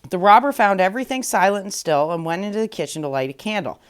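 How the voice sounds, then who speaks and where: A woman's voice: medium pitch, expressive, quick pace. Woman storyteller reading a fairy tale aloud. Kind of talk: expressive read-aloud fairy tale narration.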